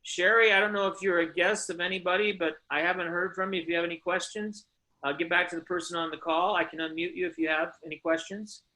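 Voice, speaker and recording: A man hosting a conference call, giving an emphatic, earnest and preachy motivational sales pitch and putting questions to his listeners. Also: fairly even intonation, quick pace, pushed and bright, low-quality recording.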